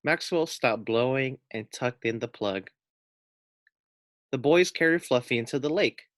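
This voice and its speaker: A man reads a children's storybook as a teacher would for first graders. His pace is slow, in a medium pitch and a dry, close sound, and he breaks off for casual explanations and questions to the listeners. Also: no echo. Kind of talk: children's storybook read-aloud with casual asides.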